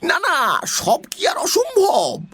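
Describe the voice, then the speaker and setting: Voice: very high voice, wildly swooping pitch, slow, full character voice. A performer playing a giddy nonsense creature in an audio story, breathless and bursting with laughter. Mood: giddy amusement. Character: giddy giggling nonsense creature, neither man, ape nor owl. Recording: loud music under the voice.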